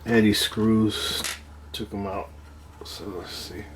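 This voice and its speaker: A man giving a casual, hands-on demonstration of his own DIY project, talking in a halting, unscripted way as he thinks aloud, with some noise in the recording. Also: lowish voice, fairly even intonation. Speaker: DIY speaker builder presenting own project.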